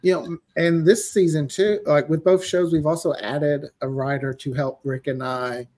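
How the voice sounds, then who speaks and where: Chatty male guest, slightly high voice. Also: fairly even intonation, moderate pace, a little mellow. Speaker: podcast guest, audio drama writer. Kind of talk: casual chat.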